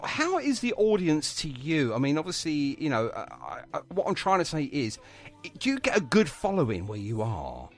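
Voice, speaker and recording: A man's voice: on the high side, huge pitch swings, moderate pace. A man hosting a casual radio interview, chatting in a wordy, encouraging way. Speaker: radio show host.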